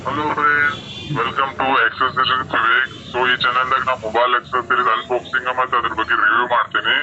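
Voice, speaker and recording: North Karnataka man, rapid monotone greeting, processed megaphone playback, dull with noise behind. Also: mid-range voice. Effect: megaphone voice-changer playback. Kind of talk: casual channel greeting.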